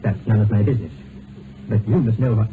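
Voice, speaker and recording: A man acting a grave male doctor in a vintage radio play, formal and halting, with apologetic care. The sound is narrow as a phone line, with clear noise. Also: on the low side, lightly acted. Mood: formal, uneasy. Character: grave, hesitant male doctor bearing bad news. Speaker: actor. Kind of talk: old-time radio drama acting. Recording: narrow, noisy old radio recording.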